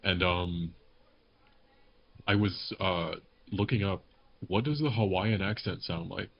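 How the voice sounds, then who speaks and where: A man's voice: sits low, takes it slowly, creaky, husky. A man chatting casually on a group podcast in a joking, rambling way. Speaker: podcast panelist, amateur voice actor. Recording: dull lo-fi mic with background noise.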